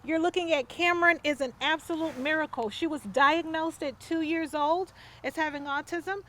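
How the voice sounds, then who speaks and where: Mom with a really high voice, middle aged, talking casually at home. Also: female voice, steady tone, normal speed, fairly bright tone. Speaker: mother. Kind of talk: casual family talk and speaking to camera.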